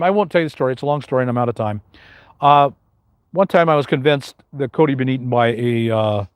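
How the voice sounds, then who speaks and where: A man's voice: medium pitch, clear, resonant tone, no room sound, clean studio sound. An older man with a big, carrying voice hosts a casual live question-and-answer stream, rambling with many fillers and restarts.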